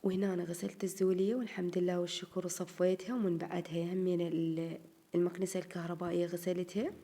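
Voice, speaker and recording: A woman's voice: fairly low pitch, dark tone. A woman with an Iraqi Arabic accent, narrating her home routine in casual chat to her viewers, her voice a little tired and strained. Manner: chatty, addresses viewers as girls. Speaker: home vlogger.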